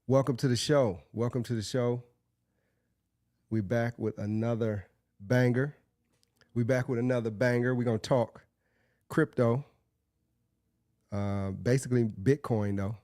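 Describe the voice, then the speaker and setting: A man's voice: medium pitch, fairly even intonation, unhurried, long pauses, mellow, no room sound, studio quality. Congested man hosting a casual podcast. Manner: loose, repetitive, with restarts. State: congested, under the weather. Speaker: podcast host. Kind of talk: casual solo podcast talk.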